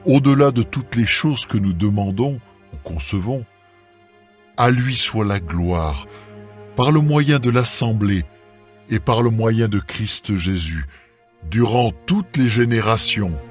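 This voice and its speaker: Slow, low-voiced man reading scripture. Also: lively intonation. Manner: measured, deliberate phrasing. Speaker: scripture reader. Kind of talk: solemn scripted Bible reading, dramatised audio. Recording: music under the voice. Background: background music.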